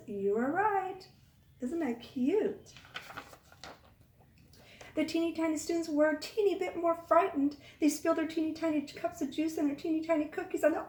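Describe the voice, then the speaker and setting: A woman reading a picture book aloud to young children, expressive, pausing to ask the listeners questions. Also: high voice. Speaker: children's storytime reader. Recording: echoey room, far from mic.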